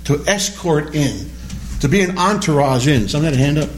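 A man teaching a Bible study class, animated and questioning, repeating key words as he explains them. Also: a little high, a little fast. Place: classroom or church hall with a small group.

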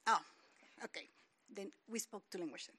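A woman from the audience, speaking haltingly with many pauses into a handheld mic. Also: medium pitch, sharp tone, husky. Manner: hesitant, halting speech with fillers. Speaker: audience member.

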